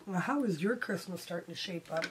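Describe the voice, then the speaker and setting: A middle-aged woman hosting a quilting lesson, chatting warmly while she sews, her voice starting to go hoarse. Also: on the low side, fairly quick, a little hiss or hum, low-quality recording. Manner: chatty, homey, talks while sewing. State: voice going hoarse. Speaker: quilting channel host. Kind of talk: casual sewing tutorial.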